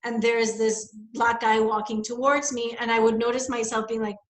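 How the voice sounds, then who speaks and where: A woman's voice: on the high side, little pitch movement, moderate pace. Young woman from the Bahamas, roomy sound. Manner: reflective, rambling, many fillers. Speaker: guest. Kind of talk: casual conversation, personal story.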